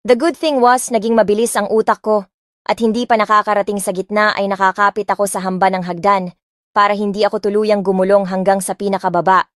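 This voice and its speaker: A woman's voice: medium pitch, a little fast, dry recording, clean studio sound. A woman narrating a first-person romance story expressively, mixing in English phrases. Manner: expressive, switching freely between Tagalog and English phrases. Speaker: audiobook narrator. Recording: music playing underneath.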